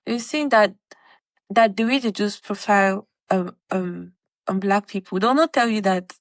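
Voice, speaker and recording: Woman, twenties, from Cameroon; podcast co-host, lively storyteller who acts out dialogue, dry close sound. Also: medium pitch, moderate pace, no echo. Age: 20s. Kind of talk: casual chat.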